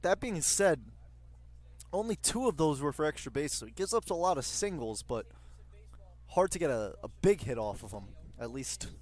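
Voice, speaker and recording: A man's voice: on the high side, expressive, normal speed, darkish tone. A man working as a baseball color commentator, explaining and analyzing in a conversational way.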